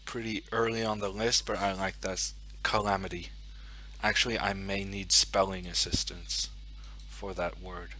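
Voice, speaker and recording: A man's voice: low-pitched, little pitch movement, moderate pace, bright, forceful tone, slight background noise. A young man with a Norwegian accent chatting casually on a live stream, thinking aloud and muttering to himself as he sets up. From Norway. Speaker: chess streamer.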